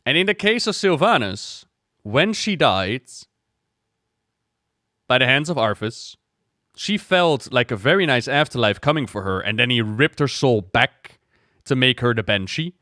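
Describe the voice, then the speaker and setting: A man's voice: medium pitch, measured pace, no echo. Dry-sounding man doing casual reaction commentary. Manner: animated retelling, acting out quotes, repeating words for emphasis. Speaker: gaming video host.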